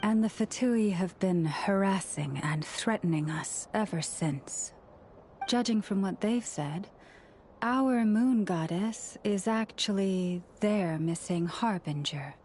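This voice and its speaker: A woman playing a young moon priestess in a video game, solemn, gentle and earnest, speaking in a measured, formal, reverent way. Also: medium pitch, a little hiss or hum, lightly acted. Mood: troubled, reflective. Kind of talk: video game cutscene acting.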